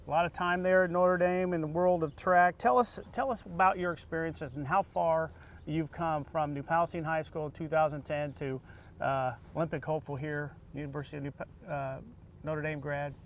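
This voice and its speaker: Higher-voiced American man hosting an interview. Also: fairly even intonation, normal speed. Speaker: interviewer, show host. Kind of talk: conversational sit-down interview.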